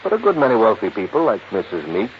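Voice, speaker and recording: Excitable deep-voiced insurance boss, old radio. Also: male voice, quick pace. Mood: dry, emphatic. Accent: American. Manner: emphatic, explanatory, excitable. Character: cigar-smoking, worried, fussy male insurance manager. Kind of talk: 1950s radio drama acting. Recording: muffled, hissy 1950s radio recording.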